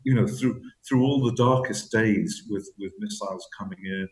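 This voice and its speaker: A man with a lowish voice speaks thoughtfully as an interview guest. He stumbles and repeats words while hunting for what he means. The room around him rings with echo. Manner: halting, repeats words, searching for phrasing. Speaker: author guest. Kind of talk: reflective interview talk.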